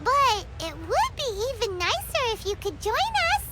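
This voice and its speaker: A woman voicing a tiny, excitable fairy companion in a game, talking in an animated, exclamatory way. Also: moderate pace, very noisy, heavily acted. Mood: cheerful. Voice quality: squeaky, bubbly, refers to herself by name. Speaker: game character. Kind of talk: game cutscene voice acting. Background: game score music.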